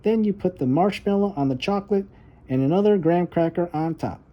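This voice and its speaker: A man's voice: high voice, fairly quick, highs cut off, pitched above the performer's own voice, close to an everyday voice. A man voicing an earnest, determined little girl in a children's storybook read-aloud. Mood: eager, explaining.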